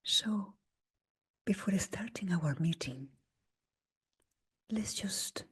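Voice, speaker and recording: Hushed, breathy woman guiding meditation slowly. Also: mid-range voice, lively intonation. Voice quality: breathy, husky, near-whisper. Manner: gentle, unhurried, with long pauses between short phrases. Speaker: meditation teacher leading a guided meditation. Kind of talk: slow guided meditation talk.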